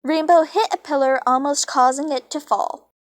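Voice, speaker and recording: A woman's voice: sits high, moderate pace, dry recording. A young woman reading a story aloud casually, with informal, self-deprecating, giggly asides. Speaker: fan fiction reader. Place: dry, close recording on a phone.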